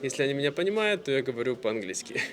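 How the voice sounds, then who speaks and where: A man from Russia vlogging casually to camera, speaking simply and clearly for language learners with easy fillers. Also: medium pitch, sing-song, racing, a crowd in the background. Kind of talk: casual vlog talk to camera.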